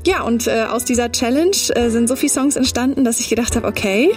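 A woman's voice: on the high side, quick pace, darkish tone, faint music behind. A woman musician who grew up in Germany with English as her first language, chatting upbeat in an interview and mixing English words into her talk. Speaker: musician guest. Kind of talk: casual interview.

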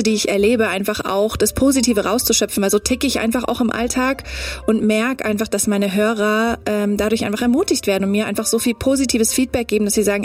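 Upbeat woman musician, mixes in English. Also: on the high side. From Germany. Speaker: musician guest. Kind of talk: casual interview.

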